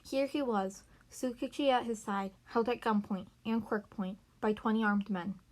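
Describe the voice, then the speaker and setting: A woman's voice: fairly high pitch, steady tone, moderate pace, a little mellow. A woman reading fan fiction narration aloud as an amateur podfic reader. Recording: noisy amateur recording with birds chirping.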